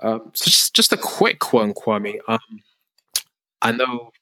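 A man's voice: mid-range voice, sing-song, slow, sharp tone, dry recording, low-quality recording. A man hosting a podcast, chatting casually with a guest, with many fillers and restarts. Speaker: podcast host. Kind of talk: casual interview chat. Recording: dry with faint music.

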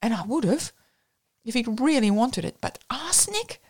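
A hoarse, brandy-soaked young man in grief, voiced by a woman reader, rambling in a low, maudlin way. The recording is close and dry. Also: sing-song, normal speed, darkish tone, no room sound. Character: grieving, drunk young male poet.

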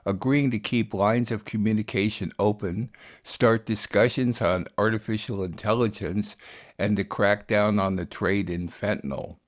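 Male radio host, low-pitched, scripted headline rundown. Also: fairly even intonation, normal speed. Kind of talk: scripted news headline summary.

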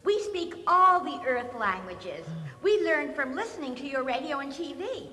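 An excitable alien lady in a radio comedy, with a very high, bright voice. She chatters in a quirky, matter-of-fact way and exclaims freely. Long reverb surrounds her. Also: normal speed, full character voice. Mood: breezy, matter-of-fact. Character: chatty, excitable female alien from another planet. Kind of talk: comedic radio drama acting. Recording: reverberant, faintly noisy radio broadcast.

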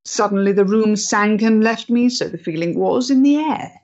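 An actress playing a proud, emotionally fragile 1890s woman in love, with a measured, theatrical way of talking in a period stage drama. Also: mid-range voice, darkish tone, lo-fi sound, natural voice. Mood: wry, proud.

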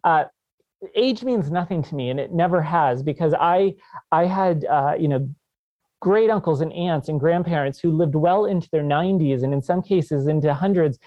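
A man's voice: a little high, moderate pace, warm tone, dry recording, muffled recording. A man in his fifties, a guest author on a podcast interview, talking with enthusiasm and laughing often. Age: 50s.

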